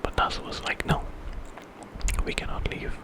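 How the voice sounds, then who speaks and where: A man telling a bedtime story in ASMR style, in a breathy whisper and an informal, conversational way. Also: normal speed, rough recording. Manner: informal retelling with quoted dialogue.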